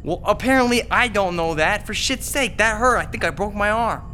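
A flippant young boyfriend in a horror radio drama, grumbling and wisecracking. His voice is bright and forceful, with some music underneath. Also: sits high, animated, normal speed. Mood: pained, indignant. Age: young adult. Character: flippant, irritable young boyfriend trapped in an apartment. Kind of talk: audio horror drama acting.

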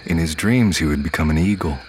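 A man's voice: rumbling low, fast, slight background noise. An actor playing a brooding male narrator in a scripted audio drama, telling the story in a dramatic way. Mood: solemn. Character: brooding male narrator recounting wounds and dark dreams.

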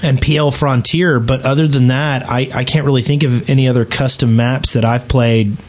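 A man chats casually as a gaming podcast co-host. His pitch sits in the middle for a male voice. He comes across as a bit grumbly and ready to argue his point. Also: steady tone, normal speed. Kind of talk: casual chat about gaming.

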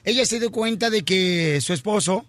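A man hosting a morning radio show, playful, loud and teasing with callers. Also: high voice, lively intonation, normal speed, no echo.

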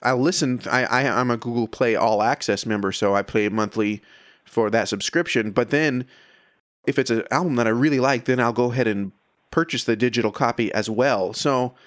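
A man's voice: mid-range voice, normal speed, no echo, clean studio sound. A man speaking as a music podcast host and interviewer in a casual, conversational style.

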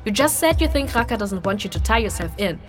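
A woman playing a chatty, upbeat, caring young adventurer in a fantasy audio drama, expressive and coaxing. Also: medium pitch, fast talker, lightly acted. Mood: earnest, teasing. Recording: music behind the voice.

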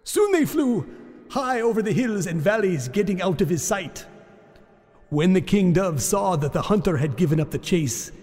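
A man's voice: high-pitched, lively intonation, normal speed, a little mellow. A man giving an exaggerated, theatrical storyteller's reading of a folk tale. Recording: long reverb with music behind.

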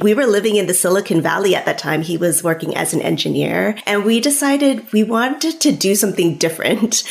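Woman in her 40s on a podcast, low-ish voice w/ some vocal fry, chatty and loose, lots of likes. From United States. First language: Cantonese. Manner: conversational, many fillers like "like" and "you know". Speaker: guest author. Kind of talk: casual interview talk.

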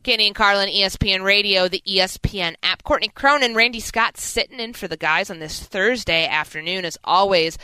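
Female sports radio host, bright and crisp, emphatic and opinionated, close dry studio sound. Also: medium pitch, no echo, rough recording. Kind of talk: sports talk radio commentary.

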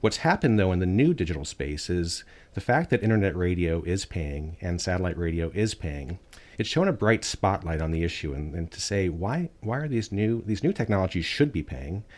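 A man with a very deep, low voice speaks as an interview guest. He argues earnestly and with emphasis. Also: very animated, normal speed. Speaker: guest, artists' rights advocate. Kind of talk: interview answers.

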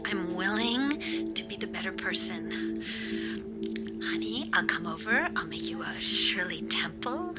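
A woman's voice: medium pitch, animated, lightly acted. An actress as a swooping, wheedling middle-aged mother. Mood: pleading, coaxing. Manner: theatrical, wheedling, halting. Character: pleading, self-justifying mother coaxing her adult daughter. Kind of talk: audio drama acting. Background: loud music.

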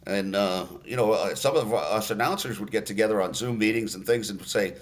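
An older man, a radio announcer, telling stories in a relaxed interview with lots of fillers and restarts. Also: on the low side, a little fast. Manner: storytelling, many fillers and restarts.

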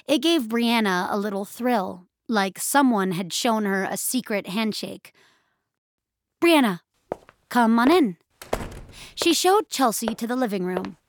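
A woman narrating scripted audio fiction in a measured, expressive storytelling style. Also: mid-range voice, slight background noise.

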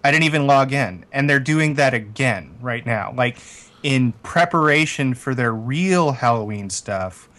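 A man's voice: mid-range voice, animated, measured pace, sharp tone. A man chatting casually and jokingly as a co-host on a gaming podcast.

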